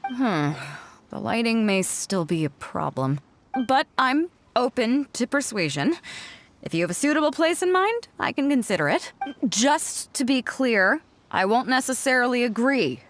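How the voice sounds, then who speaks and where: An actress playing a confident, playful yet reflective woman sea captain in video game dialogue, with a self-assured, measured way of speaking. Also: fairly high pitch, sing-song, a little hiss or hum. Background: music.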